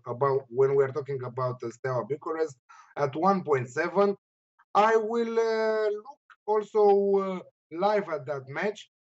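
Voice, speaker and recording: A man with a Romanian accent giving casual sports betting analysis in a halting, hesitant way. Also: on the high side, muffled recording. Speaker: betting tipster and panelist.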